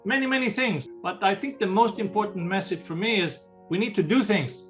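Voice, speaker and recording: Older Swedish-accented man, high voice. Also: expressive, fairly quick, a bit bright, faint music behind. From Sweden. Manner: earnest, explanatory, restarts phrases. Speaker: ocean conservation leader. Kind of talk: interview answers.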